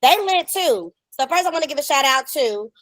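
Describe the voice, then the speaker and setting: A woman's voice: high voice, animated, brisk, over a noisy crowd. An American woman in her thirties hosting a podcast over video call, chatting casually and promoting with slangy, emphatic enthusiasm. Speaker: podcast host. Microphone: video call microphone.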